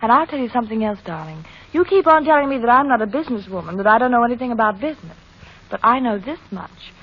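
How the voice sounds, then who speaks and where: A woman's voice: on the high side, animated, fast talker, husky. An actress playing a restless, self-centered wife and reluctant mother in an old-time radio drama. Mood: peevish, defensive. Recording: muffled old radio recording.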